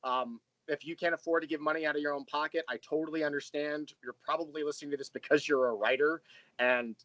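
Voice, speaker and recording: Higher-pitched man chatting as an interview guest. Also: fairly even intonation, fast talker, a bit bright, no room sound, dull audio. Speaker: podcast creator and writer, interview guest. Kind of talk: casual interview chat.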